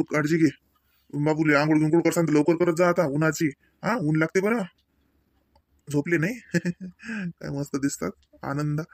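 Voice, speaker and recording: A man's voice: high voice, no room sound, studio quality. A man voicing a doting, proud young father in a comedy cartoon, chatty and folksy, with a Varhadi Marathi accent. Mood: chatty, caring. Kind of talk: homemade comedy cartoon dialogue.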